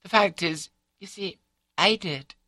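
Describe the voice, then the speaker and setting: A man's voice: sits high, measured pace, bright, forceful tone, no room sound, studio quality, voice pushed up, exaggerated voice. A man voicing a prim, scatterbrained elderly Scottish wife in an audiobook, prattling on in an unruffled, matter-of-fact way with a Scottish accent. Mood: placid, confiding. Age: older.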